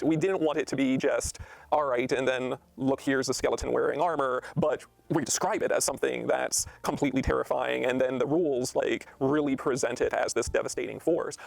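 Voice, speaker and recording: A man's voice: mid-range voice, creaky, no echo. A man explaining design choices in an interview as a game designer, articulate and emphatic.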